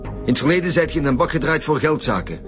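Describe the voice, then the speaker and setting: An actor playing a blunt, impatient police detective in a Flemish radio drama, pressing hard as an interrogator. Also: male voice, a little high, animated, quick pace, over music, close to an everyday voice. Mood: stern, pressing. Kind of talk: naturalistic radio drama acting.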